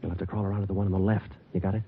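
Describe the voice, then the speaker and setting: An American actor playing a terse, steady police detective in a 1940s radio crime drama, speaking in clipped, matter-of-fact phrases. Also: male voice, rapid-fire, heavy background noise. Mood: urgent, hushed. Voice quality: low-pitched. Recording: dull old 1940s radio recording, music behind.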